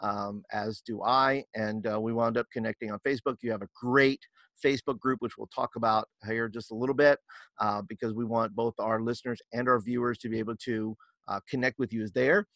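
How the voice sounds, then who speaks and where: Halting male podcast host, dry sound. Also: mid-range voice, fairly even intonation, no echo. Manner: stumbling restarts, warm questioning. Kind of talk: casual interview chat.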